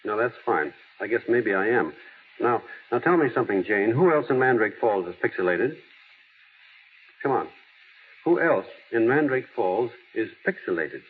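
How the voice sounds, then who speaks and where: A man's voice: lowish voice, animated, moderate pace, slight background noise, lightly acted. Actor as a folksy small-town man, tinny old radio. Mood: gently coaxing. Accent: American. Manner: folksy, hesitant, plainspoken. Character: earnest small-town everyman, plainspoken, gently wry, heir to a fortune. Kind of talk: 1930s-40s American radio drama acting.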